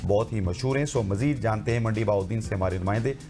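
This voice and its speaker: A hoarse man presenting a morning TV show in a formal announcer way, with fillers. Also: fairly low pitch, quick pace, mellow, faint music behind.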